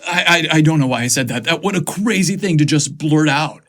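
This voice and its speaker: Man voicing gushing, flustered young male assistant, higher-pitched. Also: a little fast, a bit bright. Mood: flustered, apologetic. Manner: gushing, blurting, exclamatory.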